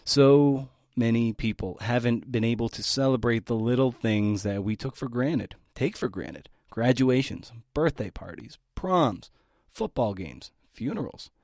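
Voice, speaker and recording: A man's voice: medium pitch, lively intonation, measured pace, darkish tone, no echo, studio quality. A man hosting a podcast, introducing material from notes with casual, conversational asides. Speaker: podcast host. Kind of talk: introducing essays, partly scripted.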